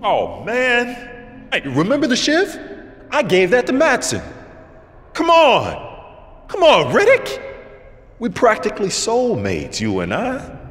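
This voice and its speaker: An actor playing an eager, fawning, foul-mouthed prison inmate in a video game, rambling from loud bursts to mutters. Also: male voice, very high-pitched, wildly swooping pitch, slow pace, long pauses, a little hiss or hum, full character voice. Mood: excited, pleading. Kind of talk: cinematic video game acting. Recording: echoey hall with loud music behind.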